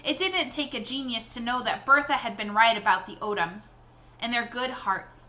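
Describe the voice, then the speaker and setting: Bright, high-voiced woman reading aloud. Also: moderate pace. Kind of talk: expressive read-aloud of a children's novel.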